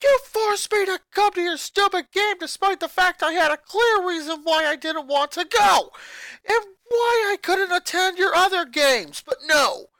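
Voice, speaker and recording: A very high, lively boy's voice, an eleven-year-old fed up with his sisters. He rants and accuses, his voice rising into shouts. Dry, close sound with no room echo. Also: normal speed, heavily acted. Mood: furious. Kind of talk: fanfic reading.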